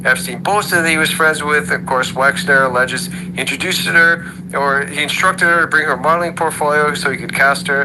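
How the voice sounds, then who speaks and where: A man's voice: fairly high pitch, fairly even intonation, normal speed, background noise. A man hosting an online audio room, delivering a sarcastic monologue full of rhetorical questions. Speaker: host of an audio chat room. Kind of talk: ranting monologue.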